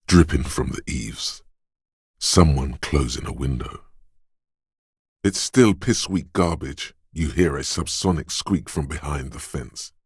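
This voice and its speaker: An actor voicing a watchful inner perception voice in a game, speaking slowly and deliberately in a hushed tone. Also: male voice, no echo, studio quality, heavily acted. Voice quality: deep husky voice. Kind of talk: game voice-over lines.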